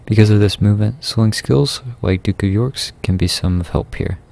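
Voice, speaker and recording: Low, gravelly man narrating a game guide. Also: normal speed, a little mellow. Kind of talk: scripted guide narration over gameplay.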